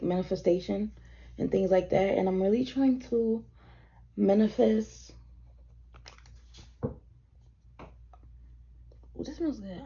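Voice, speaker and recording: A tired woman of twenty-one, chatting casually and rambling as she does her makeup at home, her voice a little deeper than usual from tiredness. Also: mellow, husky, highs cut off. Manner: rambling, easily sidetracked, swears casually. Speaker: vlogger. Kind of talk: casual get-ready-with-me chat.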